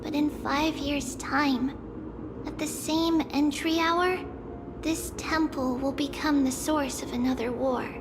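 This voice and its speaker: A woman voicing a solemn young seer in a video game, guiding visitors through memories in measured, formal, wistful speech. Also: high-pitched, steady tone, background noise. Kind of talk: video game voice acting, story narration. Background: game music.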